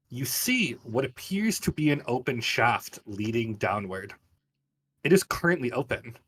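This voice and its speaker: A man running a tabletop game as game master, narrating scenes with a descriptive, storytelling delivery. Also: lowish voice.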